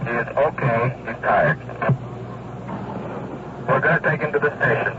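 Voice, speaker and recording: A man giving halting, official dispatch messages over a police radio on an old recording. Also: mid-range voice, loud music behind the voice. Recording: muffled, very noisy police radio transmission.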